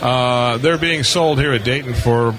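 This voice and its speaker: A man co-hosting a live show from a convention booth, chatting casually and phrasing his questions in a halting, repetitive way. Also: medium pitch. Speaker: radio show co-host. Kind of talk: casual live interview chat. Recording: busy crowd noise, live radio broadcast. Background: crowd chatter.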